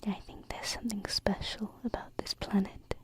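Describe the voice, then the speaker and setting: A woman voicing a gentle, wistful alien princess in an intimate ASMR roleplay, speaking softly and hesitantly close to the microphone. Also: medium pitch, flat delivery, lightly acted. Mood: wondering, tender. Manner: soft, hesitant, tender, unhurried. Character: gentle, wistful young alien princess, female, far from home. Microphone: close binaural ASMR microphone.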